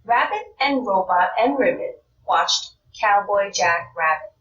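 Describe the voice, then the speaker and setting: A woman's voice: medium pitch, animated, a little slow, a bit bright, echoey room, distant mic, dull audio, a little hiss or hum. A woman narrating a children's storybook as a read-aloud.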